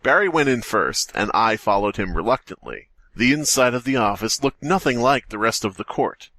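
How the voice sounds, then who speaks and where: A man's voice: medium pitch, sing-song, normal speed, bright, forceful tone, no echo. A man co-hosting a podcast, reading horror fiction aloud and breaking off to joke. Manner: jokey, breaks off reading to riff. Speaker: podcast co-host.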